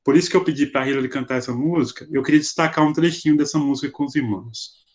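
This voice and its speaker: A man's voice: mid-range voice, fast. A man with a Brazilian accent leading an informal expository Bible study over a video call, explaining in a didactic, conversational way with frequent tag questions.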